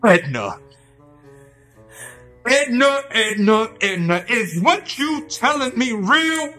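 A man playing an excitable, jealous old American man in an improv radio drama, blustering and theatrical. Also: extremely high pitch, slow pace, soft background music, pitched above the performer's own voice, heavily acted. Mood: indignant. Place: on stage at a live show.

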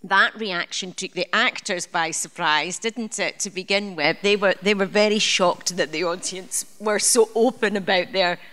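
A woman's voice: mid-range voice, normal speed, hardly any pauses, fairly bright tone. A woman speaking as assistant director on a live theatre panel, chatty and often restarting her sentences. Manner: chatty, with frequent restarts and fillers. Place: theatre stage. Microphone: handheld mic.

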